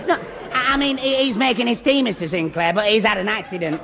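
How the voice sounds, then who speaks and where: A man's voice: high-pitched, lively intonation, fast, vocal fry, a bit bright, some chatter behind, exaggerated voice. A man playing a dim, well-meaning young pal who stammers and muddles along in a radio sitcom. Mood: muddled. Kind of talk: 1960s radio sitcom acting.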